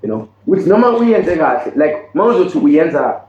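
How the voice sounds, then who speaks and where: A man's voice: fairly high pitch, big pitch swings, normal speed, darkish tone. A man with a South African accent hosting a podcast, talking earnestly with many restarts and bits of Zulu. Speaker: podcast host. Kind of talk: casual chat. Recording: dull echoey room sound.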